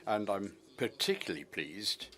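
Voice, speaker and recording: Low-voiced man interpreting a finance report. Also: wildly swooping pitch, a bit bright. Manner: measured, formal, frequent uh fillers.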